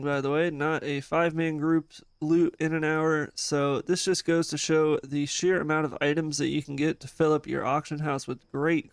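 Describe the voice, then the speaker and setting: A man with a smooth, clear voice pitched a little high talks through a game guide in an eager, casual way. His voice sits close to the microphone with a dry sound and no room around it. He keeps filling pauses with easy filler phrases. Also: dry recording. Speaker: gaming channel host.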